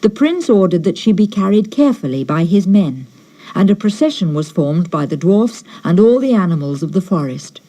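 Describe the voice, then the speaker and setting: A woman narrating a fairy tale as an audiobook reader in an expressive storytelling style. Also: on the low side, moderate pace.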